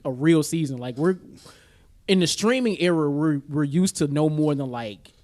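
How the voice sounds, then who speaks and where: A man's voice: a little high, moderate pace, darkish tone. A man co-hosting a casual chat podcast, slangy and agreeable, often repeating himself for emphasis. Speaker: podcast co-host. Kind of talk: casual chat about superhero films and shows.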